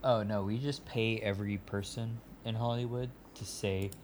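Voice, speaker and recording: Man as a smug corporate exec, low deadpan delivery, keeps cracking up; faint hiss. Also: moderate pace, lightly acted. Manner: deadpan, absurd, giggly. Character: smug rich male vaccine company executive. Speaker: podcast guest doing a bit.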